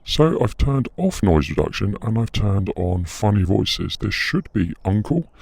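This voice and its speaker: Guy doing silly deep british uncle voice, voice changer effect, gravelly and dry. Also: sing-song, measured pace, a little mellow, no echo, heavily acted. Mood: playful testing. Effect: pitch-lowering voice changer.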